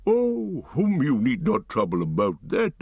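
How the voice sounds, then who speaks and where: An ancient giant tree-shepherd, as a man reads him aloud in a storybook recording, speaking with great slowness and a lively, rising and falling pitch. He hums and muses between thoughts, never in a hurry, and the close, dry recording keeps every rumble near. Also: breathy edge, dry recording, dull audio, exaggerated voice. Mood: unhurried. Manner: slow, deliberate, musing with hums. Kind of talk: audiobook character reading.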